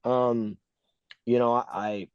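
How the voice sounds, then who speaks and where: A man's voice: medium pitch, steady tone, drawn-out words, smooth, no room sound, dull audio. Blunt, swearing man ranting on a podcast. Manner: blunt, emphatic ranting with profanity. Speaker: podcast co-host. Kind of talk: casual podcast talk.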